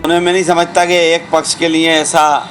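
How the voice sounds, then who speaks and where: Higher-voiced Indian man giving a statement. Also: flat delivery, normal speed, a bit bright, soft background music. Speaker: politician. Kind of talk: spoken statement to the press.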